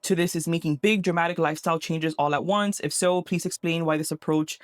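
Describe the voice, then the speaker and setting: A woman's voice: on the low side, steady tone, dry recording, studio quality. A woman from Miami with a Miami accent answers viewer questions in an upbeat, reassuring vlog. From Miami, Florida. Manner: upbeat, reassuring, rapid talk. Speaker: vlogger. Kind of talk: talking-head vlog.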